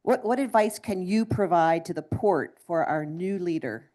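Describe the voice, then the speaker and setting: Moderator, woman, brisk upbeat conference Q&A host. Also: fairly low pitch, warm tone.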